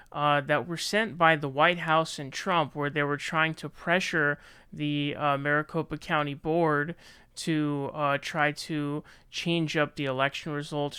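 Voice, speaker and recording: A man's voice: on the high side, sharp tone, clear, resonant tone, no room sound. An American man giving casual, opinionated news commentary in colloquial language, sometimes reading aloud.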